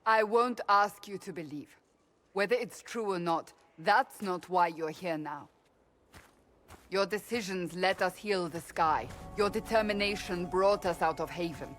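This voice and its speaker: An actress playing a stern, devout warrior woman in a fantasy game, speaking in a grave, measured, formal way. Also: on the low side, a bit bright. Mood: solemn, earnest. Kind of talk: dramatic fantasy game acting. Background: orchestral music.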